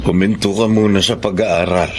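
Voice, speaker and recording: A man's voice: low voice, fairly even intonation, normal speed, background noise. A man playing a weary, practical, plain-spoken father in a Filipino radio drama. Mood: firm.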